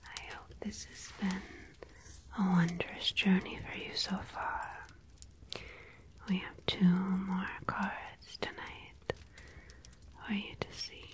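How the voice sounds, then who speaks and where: Casting: actress as gentle tarot guide, ASMR roleplay, whispered, unhurried, even intonation, hushed and welcoming. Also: female voice, medium pitch, natural voice. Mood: gently guiding. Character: gentle mystical tarot guide leading a visitor.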